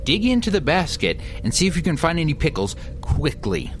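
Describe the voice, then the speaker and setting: A man's voice: on the high side, huge pitch swings, brisk, slight background noise. A man playing a bossy, urgent young crew leader in a comedy radio play. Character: take-charge young man leading a bumbling crew.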